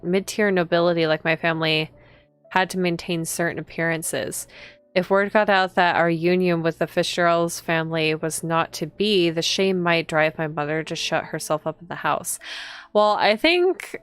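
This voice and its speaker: A woman's voice: lowish voice, clean tone. A woman reading visual novel text aloud as a streamer, expressively, with casual reactions to the story.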